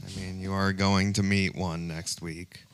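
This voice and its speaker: A man's voice: low voice, little pitch movement, unhurried, fairly bright tone, faint music behind. A man talking as a panelist in a casual, intellectual discussion. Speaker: podcast panelist.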